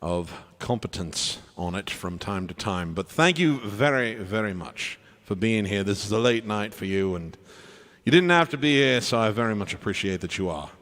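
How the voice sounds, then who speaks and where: A man's voice: fairly low pitch, animated, normal speed, fairly bright tone. A man speaking in a put-on British accent, giving casual stage banter to an online audience as a tribute performer.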